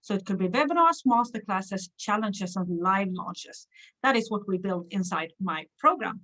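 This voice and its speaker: A woman's voice: mid-range voice, expressive, normal speed, a bit bright. A woman from Sweden with a Swedish accent, speaking English as a second language, hosting a live stream as a business coach and addressing viewers directly in an encouraging way. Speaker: online business coach and livestream host. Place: hotel room.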